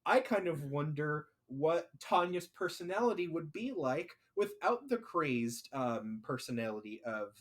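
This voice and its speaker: A man's voice: a little high, expressive, measured pace, hardly any pauses, fairly bright tone. A man hosting a casual podcast chat, rambling through ideas with many fillers and restarts. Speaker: podcast host.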